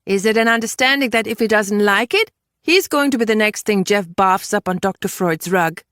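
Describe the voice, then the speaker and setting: A woman voicing a sharp-tongued, exasperated young woman in an audiobook, blunt and sarcastic. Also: mid-range voice, normal speed, dry recording, clean studio sound, voice pushed up, close to an everyday voice.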